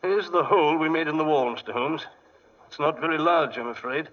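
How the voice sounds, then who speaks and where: An actor playing an excitable, fussy English gentleman archaeologist in 1940s radio style, often hesitant, with an English accent. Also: fairly high pitch, brisk, natural voice. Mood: fussy, guiding. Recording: muffled 1940s radio broadcast.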